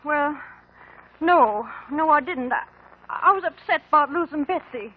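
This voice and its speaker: Anxious, devoted American country wife, high-pitched, emotional, narrow 1950s radio sound. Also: female voice, lively intonation, a little slow. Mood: upset, hesitant. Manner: plain rural speech, emotional. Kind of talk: 1950s radio drama acting. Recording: narrow-band old radio recording.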